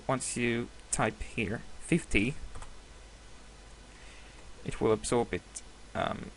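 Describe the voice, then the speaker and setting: A man whose first language is Hebrew, giving a screen-recorded software tutorial with many hesitations and self-corrections. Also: mid-range voice, slow pace, slight background noise, rough recording. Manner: apologetic, laughs at himself.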